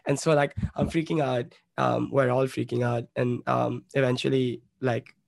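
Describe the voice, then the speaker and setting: A man's voice: medium pitch, little pitch movement, moderate pace, creaky, no echo. A young man from Bombay telling a story as a podcast guest, rambling and swearing, with lots of "like" fillers. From Bombay, India. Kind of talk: casual storytelling.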